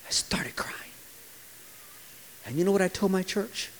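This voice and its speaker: A man's voice: high-pitched, a little slow, in a whisper. Impassioned pastor in his forties. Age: 40s. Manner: impassioned preaching, sometimes dropping to a quiet, hushed delivery. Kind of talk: sermon.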